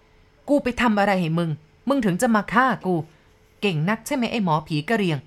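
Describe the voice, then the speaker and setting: A woman voicing a vengeful female ghost in a storybook tale, shouting slow threats. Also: medium pitch, lively intonation, natural voice. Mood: furious. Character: vengeful female ghost, huge dark shadow, furious.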